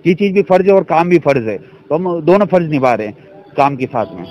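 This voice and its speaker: A man giving an unscripted interview answer as a field worker, with halting, repetitive phrasing. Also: a little high, animated, soft background music. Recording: dull field recording, faint vehicle noise.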